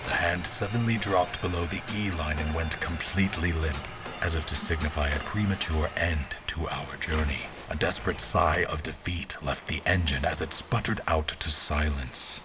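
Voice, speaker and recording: A man's voice: extremely low pitch, steady tone, normal speed, over loud music. An actor playing a formal male officer who recounts his memory in scripted, literary dramatic narration. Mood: grave, reflective.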